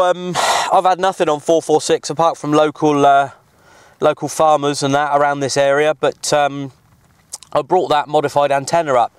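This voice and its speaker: A man's voice: fairly high pitch, no echo. A man chatting informally in a matey way as he narrates an outdoor CB radio session. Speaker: CB radio operator and vlogger. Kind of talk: casual outdoor vlog and radio chat. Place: outdoors on an open hill.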